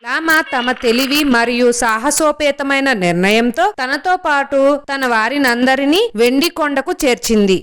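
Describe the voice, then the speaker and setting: A woman's voice: high-pitched, moderate pace, few pauses, fairly bright tone, smooth. A woman reading scripted narration for a children's story.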